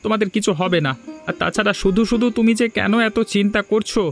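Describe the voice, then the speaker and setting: A duty-shirking young village lad from a cartoon, played by a man. His voice is very high for a male, with a breezy, protesting manner. Faint music runs underneath. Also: steady tone, fast, vocal fry. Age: young adult. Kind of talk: Bengali moral-story cartoon dubbing.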